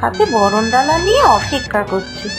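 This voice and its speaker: Expressive woman narrating a fairy tale. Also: fairly high pitch, moderate pace, music in the background.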